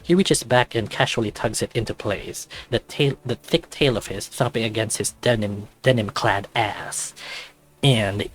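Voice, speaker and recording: A man reading a visual novel aloud as an expressive narrator. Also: on the low side, a little slow. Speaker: YouTube visual novel reader. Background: music.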